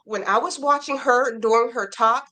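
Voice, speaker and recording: Upbeat woman host, slightly high voice. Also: moderate pace, bright, forceful tone. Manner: encouraging, frequent backchannel affirmations. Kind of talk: casual interview.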